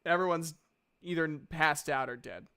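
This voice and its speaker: A man's voice: a little high, normal speed, pushed and bright, smooth. A man narrating casually as game master of a tabletop game.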